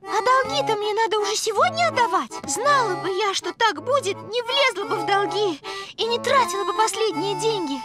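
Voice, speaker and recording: Childlike cartoon piglet girl, squeaky sky-high voice, vain, impulsive, pouty, loud music behind. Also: expressive, fairly quick. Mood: dejected, pouty. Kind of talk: animated cartoon voice acting.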